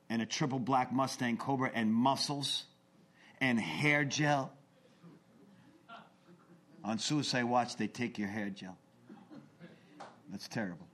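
A man in his forties giving an earnest, confessional talk about his recovery, swinging between intense bursts and hushed asides. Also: mid-range voice. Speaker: recovery speaker. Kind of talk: personal testimony talk.

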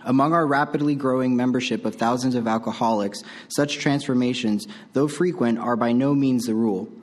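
A man reading a passage aloud at a meeting in a steady, measured way. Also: medium pitch. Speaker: meeting reader.

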